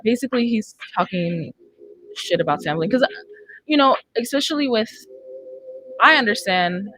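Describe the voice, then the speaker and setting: A woman's voice: a little high, expressive, normal speed, fairly bright tone, rough recording. A young woman hosting a casual chat in an informal, loosely improvised way, close on a studio microphone. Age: young adult. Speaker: podcast host. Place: podcast studio, indoors. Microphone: close studio microphone on boom arm.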